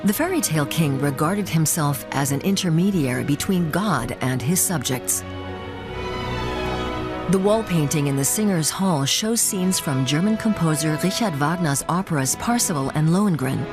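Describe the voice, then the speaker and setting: A woman's voice: deep voice, lively intonation, moderate pace. A woman reading scripted narration for a travel report as a documentary narrator. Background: background music.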